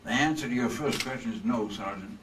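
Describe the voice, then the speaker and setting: An actor playing an anxious, questioning husband in an old-time radio drama recorded live on stage. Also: male voice, medium pitch, fairly quick, far from the mic, noisy background, natural voice. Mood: anxious, puzzled.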